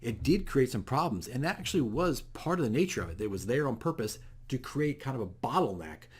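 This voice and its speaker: A man's voice: mid-range voice, animated, brisk, breathy edge. A man hosting a casual, unscripted video diary as a dungeon master, explaining things in a rambling, self-correcting way. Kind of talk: casual unscripted game session recap.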